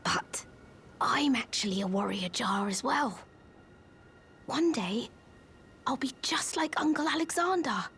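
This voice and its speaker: A woman voicing a small, earnest young living jar in a video game, childlike and wistful, speaking softly and confidingly. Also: sits high, animated, unhurried, pauses often, a little hiss or hum, full character voice. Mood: hushed, hopeful. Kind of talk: video game character acting.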